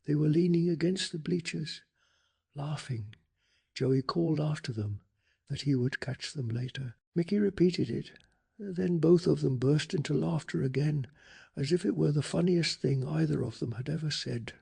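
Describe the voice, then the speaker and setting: A man softly narrating a bedtime story in a calm, hushed ASMR style, telling it with deliberate drama. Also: a little high. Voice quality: soft, breathy.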